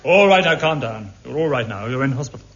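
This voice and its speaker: A man's voice: mid-range voice, lively intonation, fast, fairly bright tone. A man playing a brusque, disapproving hospital doctor in a 1950s radio comedy. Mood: calming. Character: stern, scolding hospital doctor. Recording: dull vintage radio recording.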